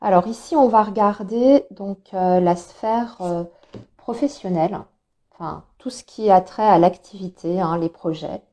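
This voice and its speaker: A woman talks through a monthly tarot spread, her voice soft and mellow. A cold has left her throat a touch hoarse. She hesitates often and fills gaps while she explains each card. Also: medium pitch, unhurried. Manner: hesitant, many filler sounds, explanatory. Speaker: tarot reader. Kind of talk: monthly astrology tarot reading.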